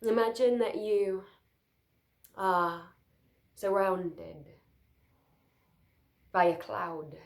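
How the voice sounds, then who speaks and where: A woman leading a guided sleep meditation, speaking soothingly and gently in short, unhurried phrases with pauses. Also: medium pitch, steady tone, vocal fry.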